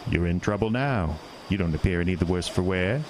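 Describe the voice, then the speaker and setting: An actor playing a sinister, smooth-talking male carnival showman in a horror audio drama, with a deep, coaxing, theatrical delivery. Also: fairly quick, noisy background, close to an everyday voice. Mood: sly. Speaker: carnival owner.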